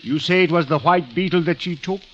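An actor playing a formal, exacting, impatient police captain in an old-time radio drama, speaking with precise authority. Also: male voice, a little high, fairly quick, fairly bright tone, close to an everyday voice. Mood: stern, exacting. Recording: muffled vintage radio recording, faint noise.

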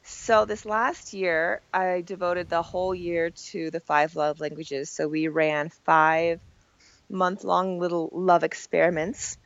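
A woman in her thirties with a cold, talking croakily and with self-deprecating rambles as a podcast guest. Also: on the low side, level tone, slow, no echo, dull audio. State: hoarse from a cold. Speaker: podcast guest, marriage blogger. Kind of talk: casual interview chat.

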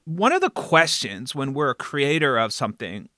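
A man hosts a conversational interview in a bright, forward voice. His pitch sits a little high and swings widely as he makes his points. The sound is dry, with very little room on it. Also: moderate pace, no echo. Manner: animated, frequently checking in with "right?". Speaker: podcast host and interviewer.

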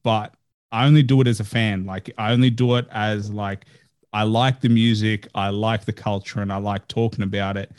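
A man's voice: fairly low pitch, fairly even intonation, normal speed, a little mellow, smooth. A man hosting a podcast interview, chatting in an earnest way with frequent "like" fillers. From Australia. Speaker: podcast host. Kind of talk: casual interview chat.

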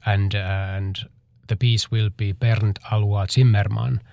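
A man, a choreographer answering interview questions in a halting way as he searches for words. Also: lowish voice, fairly even intonation, creaky, mellow, no room sound, clean studio sound. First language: Finnish.

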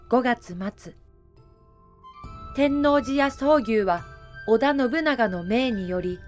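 Female narrator, slightly high voice, slow measured explanatory read, historical audio drama.

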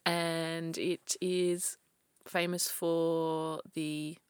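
A woman with a slightly croaky, fairly low voice tells a true crime story in a relaxed, chatty way. She laughs often and restarts her sentences, and the sound is dry and close. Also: drawn-out words, no echo. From Australia. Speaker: podcast co-host telling a true crime story. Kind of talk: casual chat.

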